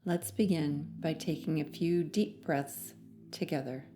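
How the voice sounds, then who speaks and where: A woman narrating a guided meditation in a soothing, measured manner with long pauses between phrases. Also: low-pitched, mellow, faint music behind.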